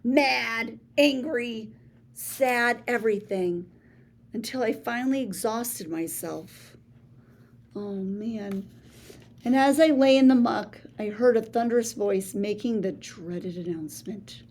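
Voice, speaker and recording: A woman reading a children's picture book aloud, expressive, with gasps and hushed asides to her listeners. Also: on the high side, takes it slowly. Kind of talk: read-aloud storytelling for children.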